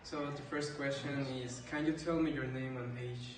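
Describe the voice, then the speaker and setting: A man's voice: mid-range voice, fairly even intonation, moderate pace. A young man interviewing a teacher, asking brief formal questions in a school setting. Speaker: student interviewer. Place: school library with bookshelves, indoors. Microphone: far camera across a desk. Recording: echoey room from a distance, audible noise.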